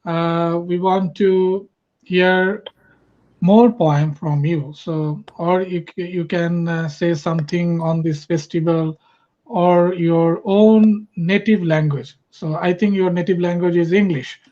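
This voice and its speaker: A man's voice: sits high, fairly even intonation, slow pace, mellow. Hesitant Bangladeshi man moderating. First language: Bengali. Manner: hesitant, many uh fillers. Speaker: festival moderator. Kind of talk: hosting an online event. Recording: dull lo-fi online call audio.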